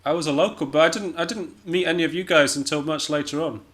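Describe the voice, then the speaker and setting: A man's voice: fairly high pitch, fast talker, fairly bright tone. A man from Scotland chatting casually as an interview guest, in a hesitant, understated and self-deprecating way. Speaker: interview guest, jazz pianist and musician.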